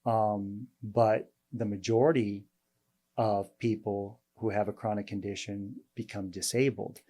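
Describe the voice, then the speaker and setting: A man with a fairly low, mellow voice hosts a casual interview as a doctor. He talks in a halting way, full of fillers and restarts, but sounds friendly and easy. His tone stays dark and relaxed. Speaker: host, weight loss doctor.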